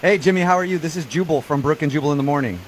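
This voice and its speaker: A man hosts a casual radio phone segment at a brisk clip. He is chatty and amused, always probing with another question. A faint hiss sits behind his voice. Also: fairly high pitch. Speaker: radio show host. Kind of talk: casual phone prank-call radio chat.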